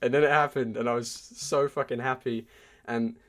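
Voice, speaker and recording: A man's voice: medium pitch, normal speed. Casual, sweary male podcast co-host. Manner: profane, excitable fan talk. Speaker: podcast co-host reviewing films. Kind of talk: casual chat.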